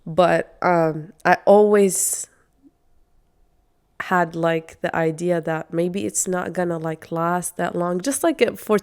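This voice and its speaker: A woman in her twenties who grew up speaking Arabic chats on her podcast with eager enthusiasm. Her voice is smooth and clear, sitting a little low. She talks in a loose, casual way, full of fillers and restarts. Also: steady tone, slow, darkish tone. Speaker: podcast co-host. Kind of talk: casual chat.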